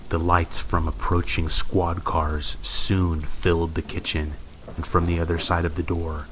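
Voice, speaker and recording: A man with an extremely deep voice narrates a scary story. His intonation stays level and restrained, with a measured, suspenseful delivery. Rain falls softly behind him. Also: poor audio. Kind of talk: scripted horror story narration. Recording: steady rain noise behind. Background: rain and thunderstorm ambience.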